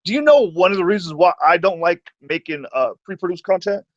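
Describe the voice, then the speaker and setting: Give me a blunt male stream host with a slightly high voice on dull, dry audio. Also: lively intonation, fairly quick, no echo. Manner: profane, blunt, stuttering off the cuff. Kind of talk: casual chat.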